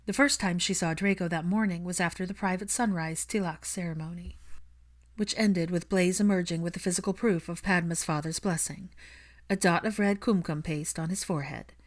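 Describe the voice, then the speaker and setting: A woman's voice: lowish voice, steady tone, a little fast. A woman reading fiction aloud as an audiobook narrator, in a scripted storytelling style.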